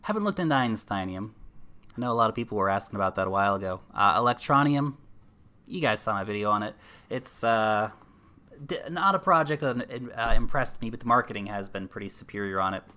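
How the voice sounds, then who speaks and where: Bright-voiced man chatting casually with viewers. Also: on the low side, animated, fairly quick. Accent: American. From New Zealand. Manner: chatty, addresses viewers as guys, thinks aloud with fillers. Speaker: livestream host. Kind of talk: casual livestream chat reading viewer comments.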